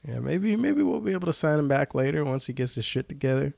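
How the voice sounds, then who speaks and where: Gravelly man hosting a podcast, nasal. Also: on the high side, big pitch swings, fast, darkish tone, dry recording. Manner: laid-back slangy banter. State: nasal from a cold. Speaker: podcast host. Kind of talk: casual podcast chat.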